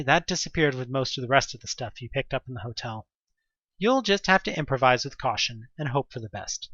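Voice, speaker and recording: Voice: medium pitch, fairly bright tone, dry recording, lo-fi sound. A narrator reading a scripted second-person story for an audio drama.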